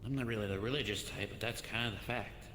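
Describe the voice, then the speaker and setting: A man's voice: fairly low pitch, fast talker, a bit bright. A man improvising as a guild member in a conversational online roleplay. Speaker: player acting a guild member. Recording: noisy, echoey online voice chat.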